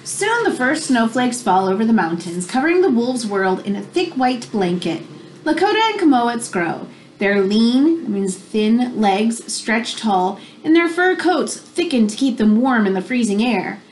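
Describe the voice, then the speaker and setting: A woman in her thirties reads to children in a fairly high, very animated voice. Her delivery is teacherly, moving between storytelling and explanatory asides. It is a home recording in a small room, with some background noise. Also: rough recording. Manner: expressive, teacherly, pausing to explain words. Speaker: children's picture book reader.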